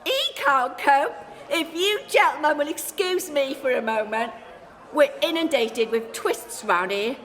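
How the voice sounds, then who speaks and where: A feisty Cockney landlady in a comic radio play. Her voice is high, forceful and brash, full of slangy threats. It rings in a reverberant hall with a crowd behind her. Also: lively intonation, unhurried. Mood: exasperated, bossy. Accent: London. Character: feisty, foul-tempered cockney pub landlady.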